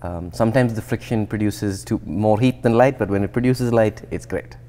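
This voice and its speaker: A man in his thirties from India, a researcher explaining his path in a relaxed, thoughtful interview, often restarting sentences. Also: lowish voice, moderate pace. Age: 30s. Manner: thoughtful, with frequent restarts and fillers. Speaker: guest researcher. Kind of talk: conversational interview. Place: indoor atrium with plants and glass. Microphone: lapel.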